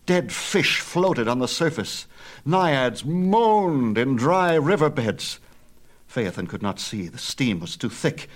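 A man narrating a myth as an audiobook storyteller, with a theatrical, measured and emphatic delivery. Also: mid-range voice, sing-song.

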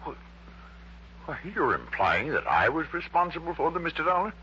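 An actor playing a polished, evasive company boss in a 1960s radio drama, formal with an indignant edge. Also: male voice, a little high, big pitch swings, moderate pace, bright, forceful tone. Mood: guarded, indignant. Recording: muffled vintage radio with faint hum.

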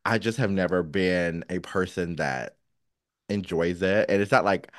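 A man's voice: low-pitched, a little slow, no room sound, studio quality. A man co-hosting a podcast in casual, candid personal chat, with frank, sassy slang. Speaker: podcast co-host; counselor.

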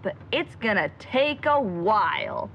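A woman's voice: mid-range voice, very animated, slow pace. A woman playing a headstrong, exasperated young amateur sleuth in an audio drama, with slight noise on a muffled recording. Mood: exasperated, determined. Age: young adult. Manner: defiant, exasperated.

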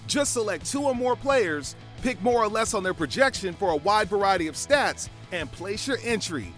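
Bright, high-voiced man reading an ad. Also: expressive, moderate pace. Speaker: commercial announcer. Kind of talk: upbeat promotional ad read. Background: music.

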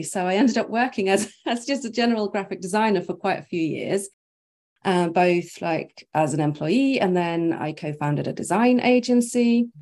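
A woman from Norway, a brand strategist, guesting on an interview over a video call, chatty and quick to laugh. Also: on the low side, darkish tone. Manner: chatty, laughs often, thinks aloud with fillers. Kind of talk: casual interview chat.

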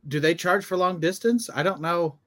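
A man's voice: sits high, normal speed, fairly bright tone, no echo. A man chatting casually as a podcast co-host, speaking in short remarks and questions. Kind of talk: casual chat.

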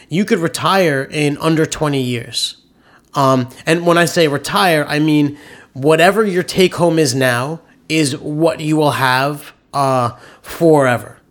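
A man with a nasal, slightly high voice, talking through money on a casual podcast. He explains with quick, opinionated enthusiasm. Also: fairly bright tone. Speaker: podcast co-host, author.

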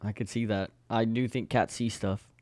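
A man's voice: lowish voice, fairly even intonation, moderate pace, a little mellow, no room sound. A man co-hosting a podcast, chatting casually in a laid-back, joking way. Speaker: podcast co-host. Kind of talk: casual chat.

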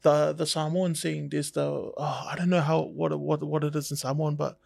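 A man's voice: a little high, flat delivery, moderate pace, gravelly, a little mellow, no room sound. A man, a tattoo artist whose first language is Tongan, talking in an easygoing, reflective way with jokey asides on a casual podcast.

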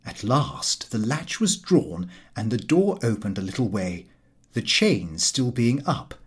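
A man's voice: mid-range voice, very animated, a little slow, breathy edge. A man narrating a comic diary novel as a scripted audiobook reading.

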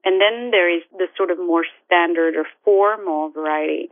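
A woman from Morocco explaining her writing in an interview, measured and thoughtful, with frequent "you know" fillers. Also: fairly low pitch, no room sound. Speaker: novelist. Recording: tinny phone line.

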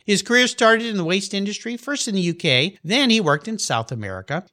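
Animated, bright-voiced male podcast host. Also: a little high. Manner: enthusiastic, animated. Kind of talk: casual interview and ad reads.

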